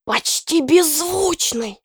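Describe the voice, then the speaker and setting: A woman's voice: high voice, expressive, slow pace, no echo, studio quality. A woman playing a curious, wondering young boy in a children's educational audio play. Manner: inquisitive, wondering.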